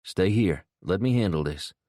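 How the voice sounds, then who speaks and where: A man's voice: deep voice, animated, moderate pace, warm tone, no echo, clean studio sound. A man voicing a tough armed man who speaks coolly with clipped threats. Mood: menacing.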